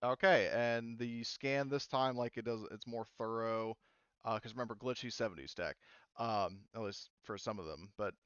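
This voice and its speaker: A man's voice: medium pitch, moderate pace, fairly bright tone, smooth, no room sound. A man running a tabletop game as game master, narrating in a rambling way with lots of fillers. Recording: slightly dull, dry online audio.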